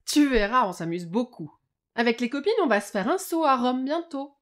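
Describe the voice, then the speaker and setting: Actress as a retired mum, older woman, highish voice, lively intonation, motherly, chatty, audio drama. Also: normal speed. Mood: fussing, chatty. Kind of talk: French audio drama acting.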